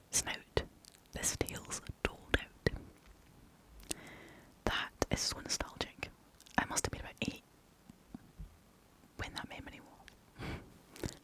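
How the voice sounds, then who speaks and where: A storyteller reading folk tales in a breathy, unvoiced ASMR whisper, gently and expressively. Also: low-quality recording. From United Kingdom.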